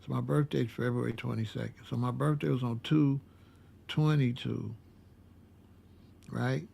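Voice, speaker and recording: Older guy in his 60s telling a story, super gravelly, rambles and repeats himself. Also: mid-range voice, fairly even intonation, normal speed, warm tone. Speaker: vlogger. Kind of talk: casual storytelling monologue.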